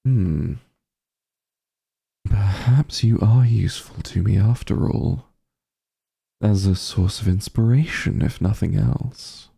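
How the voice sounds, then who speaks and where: A man playing a vain, disdainful evil sorcerer king in an ASMR roleplay, with a gravelly, breathy voice and a pompous, sardonic drawl. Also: fairly low pitch, slow, pauses often, mellow, full character voice. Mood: grudging, musing.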